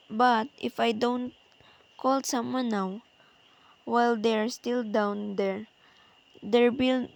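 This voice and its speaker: A woman's voice: a little high, gravelly, a little mellow. A woman playing a nervous, demanding, frightened invalid alone at home in a radio play, anxious and insistent. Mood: fearful.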